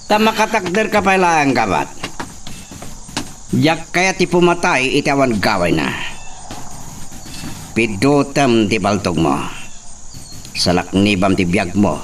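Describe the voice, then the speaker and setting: A man's voice: mid-range voice, expressive, a little slow, long pauses, far from the mic, a little hiss or hum. A man playing an arrogant, cruel young master in an audio drama, taunting and barking orders. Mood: menacing, taunting. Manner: taunting, domineering, shouted commands. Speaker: drama actor. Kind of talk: Ilocano radio-style drama acting.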